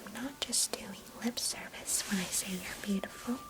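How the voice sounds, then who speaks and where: A woman's voice: mid-range voice, normal speed, natural voice. Woman playing a whispering, chatty affectionate cousin. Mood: fond, gentle. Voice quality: breathy, unvoiced whisper. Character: chatty, affectionate, nostalgic female cousin, former ballet student. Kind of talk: whispered ASMR roleplay.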